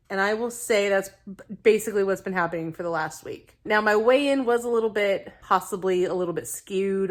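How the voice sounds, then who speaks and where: A woman in her thirties talks casually to her viewers in a slightly low voice that is still raspy from a lingering illness. She is chatty and self-deprecating, laughing at herself often. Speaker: vlogger. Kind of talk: casual weight-loss vlog to camera.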